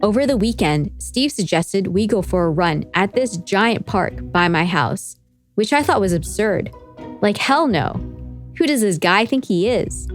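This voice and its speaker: A woman's voice: mid-range voice, animated, a little slow. A woman host telling a scripted story as narrator, with wry, chatty asides. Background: music behind the voice.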